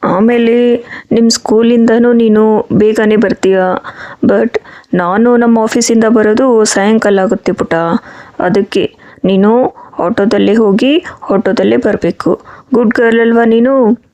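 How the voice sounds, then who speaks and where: A woman voicing a patient, soothing father in a children's cartoon story, speaking in a gentle, explanatory, coaxing way. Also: on the high side, steady tone, unhurried, pauses often. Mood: patient explaining.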